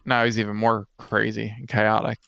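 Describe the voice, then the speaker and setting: A man's voice: fairly low pitch, flat delivery, normal speed, vocal fry, a bit bright, dry recording. A man co-hosting a casual chat and brainstorming tabletop fantasy lore, on a dull, lo-fi close mic. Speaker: podcast co-host.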